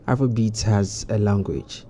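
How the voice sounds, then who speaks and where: A man on a studio panel discussing music, building his points slowly with restarts and "you know". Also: lowish voice, warm tone, a little hiss or hum.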